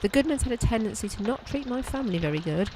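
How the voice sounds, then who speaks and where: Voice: mid-range voice, expressive, quick pace, noisy background, natural voice. A performer playing a mistreated, bitter, plaintive servant maid in an audio drama.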